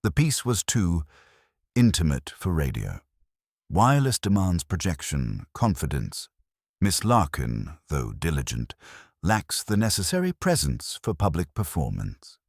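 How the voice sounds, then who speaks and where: An officious society woman from a mystery audiobook, played by a man in his deep voice, pompous and regretfully firm. Each word is precise, with a dry, close sound. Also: wildly swooping pitch, a little slow, vocal fry, husky, no room sound, heavily acted. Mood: haughty regret.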